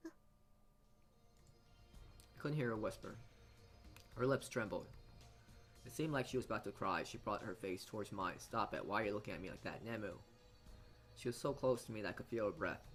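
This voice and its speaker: A man with a fairly low voice narrates a visual novel for a let's play. He reads dramatically but haltingly, often tripping on words and starting them again. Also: fairly bright tone, soft background music. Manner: halting reading, often stumbling and repeating words. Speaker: gamer reading a visual novel aloud.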